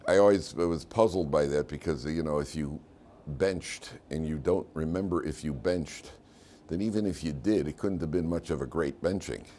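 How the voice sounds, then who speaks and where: Casting: American male rabbi, extremely deep hoarse voice, halting informal Torah-class delivery with frequent repeats, faintly noisy recording. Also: warm tone. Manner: halting, repeats words and restarts often. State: hoarse from medication. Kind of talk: informal religious lesson.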